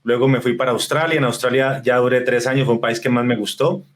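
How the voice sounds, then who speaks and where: A man's voice: medium pitch, fast talker. Chatty man. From Colombia, raised in northern Spain. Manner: relaxed, talkative, with frequent fillers and restarts. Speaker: guest, marketing specialist. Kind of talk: conversational podcast interview.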